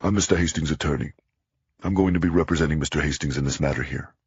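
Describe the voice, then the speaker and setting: A man's voice: rumbling low, fast, breathy edge, dry recording, dull audio, exaggerated voice. A man voicing a large, blunt lawyer with a Brooklyn accent. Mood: firm. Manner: blunt, businesslike. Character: large bald blue-collar lawyer, blunt.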